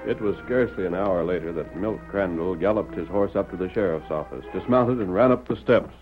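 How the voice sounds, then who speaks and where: An actor as the dramatic narrator of an American radio Western, in scripted 1940s style. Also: male voice, deep voice, brisk, natural voice. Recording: muffled old radio recording. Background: music.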